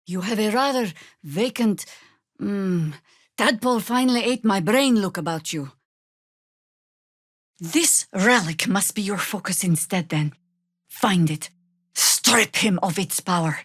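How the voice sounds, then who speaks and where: An actress playing a seasoned, sardonic older druid adventurer in a video game, with dry, wry and deliberate formal phrasing. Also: female voice, medium pitch, fairly bright tone, dry recording. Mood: wry, commanding. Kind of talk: video game character acting.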